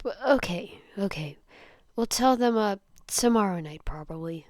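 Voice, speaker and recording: A woman's voice: mid-range voice, expressive, no room sound, studio quality, close to an everyday voice. A woman voicing an anxious, guilt-ridden teenage boy in a story, stammering and pleading. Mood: reluctant. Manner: stammering, pleading, rushed rambling. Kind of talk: dramatised fan fiction reading.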